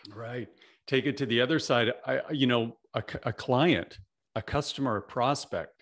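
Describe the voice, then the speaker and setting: A man's voice: mid-range voice, normal speed, fairly bright tone. Canadian man hosting a sales podcast. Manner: friendly, talks with many "you know" fillers. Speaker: podcast host. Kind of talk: casual interview chat. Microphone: video call, desk mic.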